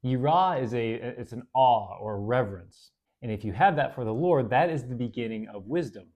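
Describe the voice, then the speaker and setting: A man hosting a casual Bible study, talking in a relaxed, conversational way with fillers and self-corrections, and reading verses slowly and deliberately. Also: mid-range voice. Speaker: host.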